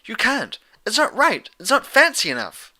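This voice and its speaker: A very high, bright, excitable girl pony from a cartoon story, acted out by a man. The voice is bubbly and giggly, with words blurted at full energy. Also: normal speed, full character voice. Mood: urgent, alarmed. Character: hyperactive, bubbly young female cartoon pony.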